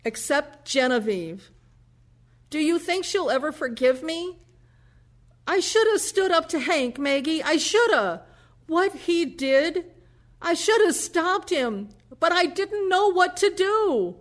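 A woman playing a devout, defensive elderly mother in an audio play, gentle and pleading. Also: very high-pitched, lively intonation, takes it slowly, full character voice. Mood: remorseful, pleading.

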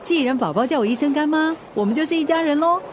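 An actress playing a supportive, generous friend in a radio drama, friendly and encouraging. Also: female voice, sits high, expressive, fast talker, slight background noise. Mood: caring, upbeat. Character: supportive, generous woman friend of a young family.

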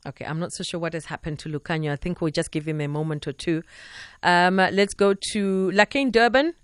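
A man's voice: fairly high pitch, brisk, fairly bright tone, clear, resonant tone, no room sound. A man with a South African accent hosting a late-night call-in radio show, talking loosely with lots of fillers. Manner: chatty, loose, with many "you know" fillers. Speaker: radio talk show host. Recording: dry studio sound.

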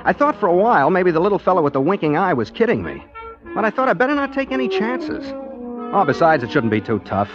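An actor playing an earnest, daydreaming rookie private detective, boyish and wryly self-deprecating, in 1940s radio style. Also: male voice, sits high, sing-song, quick pace. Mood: wry, hopeful. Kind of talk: 1940s radio acting with first-person narration. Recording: dull vintage 1940s radio, music behind.

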